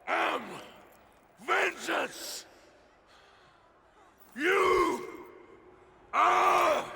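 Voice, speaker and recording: Over a roaring crowd, a raging ancient warrior, shouting at the very top of his range. His words are drawn out, menacing and full of vengeance, as in an epic game. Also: extremely high pitch, creaky, exaggerated voice. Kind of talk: video game cinematic acting. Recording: roaring crowd and loud arena noise.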